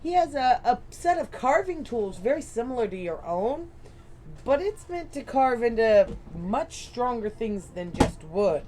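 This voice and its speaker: A woman's voice: a little high, sing-song, unhurried, a little mellow. A woman running a tabletop game as game master, narrating in a chatty, rambling, self-deprecating way.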